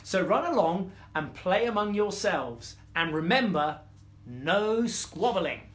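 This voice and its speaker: Storybook lioness mum, played by a woman: stern, weary, commanding, expressive pitch swings, echoey room. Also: slow. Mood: stern, exasperated. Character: bossy, weary mother lioness scolding her cubs. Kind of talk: children's storybook character voice.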